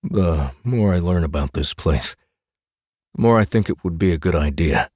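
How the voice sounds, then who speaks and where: Bookish married man acted by a male narrator, deep, earnest, faintly exasperated, fantasy audiobook dialogue. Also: lively intonation, moderate pace, a little mellow, no room sound, clean studio sound. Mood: hesitant, earnest.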